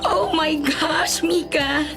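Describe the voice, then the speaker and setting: A woman's voice: high-pitched, very slow, vocal fry, exaggerated voice. A woman with a Filipino accent playing a gushing, insistent young big-sister figure in a radio drama. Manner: gushing, insistent, mixes in English phrases. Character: bubbly, bossy, affectionate big-sister woman, Ate Karen. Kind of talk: Tagalog radio drama acting. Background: music bed.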